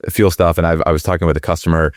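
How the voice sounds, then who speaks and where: A middle-aged man with a deep voice, talking loosely in an interview, with lots of fillers and restarts. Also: flat delivery, racing, dry recording, clean studio sound. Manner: rambling, many fillers and restarts. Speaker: guest, company executive. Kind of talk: conversational interview.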